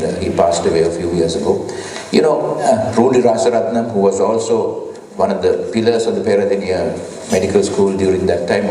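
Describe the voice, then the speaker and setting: A man's voice: fairly low pitch, mellow, slight background noise. Reminiscing Sri Lankan man, echoey hall. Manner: reminiscing storyteller. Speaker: speaker. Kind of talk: after-dinner speech. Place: large banquet hall. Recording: echoey hall, mic far off.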